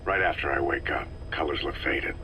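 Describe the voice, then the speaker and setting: An extremely deep-voiced, battle-scarred soldier from a video game, middle-aged and weary. He answers in terse, understated phrases with a forceful, tense edge. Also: normal speed, slight background noise. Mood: terse, subdued. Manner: terse, understated, clipped replies. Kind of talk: dramatic video game dialogue.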